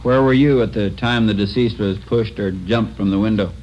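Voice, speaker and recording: Guy doing dry official inquest coroner, mellow, old radio drama, dull sound w faint hiss. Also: lowish voice, moderate pace, lightly acted. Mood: official, impersonal. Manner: formal, procedural, impersonal. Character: brisk, dry, official male coroner questioning witnesses. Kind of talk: 1950s radio drama acting. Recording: muffled, noisy old radio recording.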